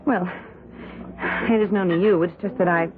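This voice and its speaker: An actress playing a trusting, anxious younger sister in an old radio drama. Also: female voice, fairly low pitch, steady tone, moderate pace, a crowd in the background, close to an everyday voice. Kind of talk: 1970s radio drama acting. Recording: phone-like old radio recording.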